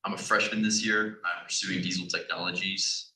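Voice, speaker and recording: A young man from Virginia introduces himself as a student. His voice is deep yet very sharp, and it carries from a distance in an echoey room. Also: fairly even intonation, moderate pace. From Virginia, United States. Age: young adult. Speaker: college student. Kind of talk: self-introduction.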